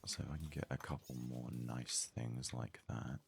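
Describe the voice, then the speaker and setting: A man giving soft-spoken, casual ASMR commentary while playing a video game, muttering in a hushed voice close to the mic. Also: a bass voice, fairly even intonation, normal speed, gravelly, faint music behind. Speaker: gaming video host.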